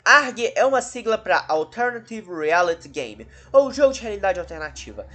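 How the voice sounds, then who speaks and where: A man's voice: high voice, sharp tone. A Brazilian man hosting a video commentary, talking casually in a rambling, self-interrupting, dryly sarcastic way. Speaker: host of a video channel about horror cases.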